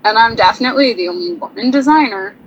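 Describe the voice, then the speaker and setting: A woman's voice: on the high side, quick pace, muffled recording, a little hiss or hum, close to an everyday voice. An actress playing a shy young woman sign designer who talks nervously, giggles and apologizes. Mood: earnest. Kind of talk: stage radio drama acting.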